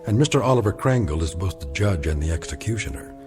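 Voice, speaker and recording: An actor playing a grave, ominous narrator of eerie tales, giving measured, portentous radio drama narration. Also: male voice, low-pitched, expressive, music in the background.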